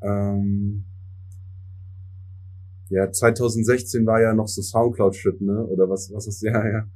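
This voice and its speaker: A young man in his twenties speaking with a fairly low, mellow voice. His first language is English, and he talks loosely with youth slang, English words and plenty of fillers. He answers a podcast interviewer in an easygoing way. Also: flat delivery, unhurried. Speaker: podcast guest, musician. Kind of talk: casual interview chat.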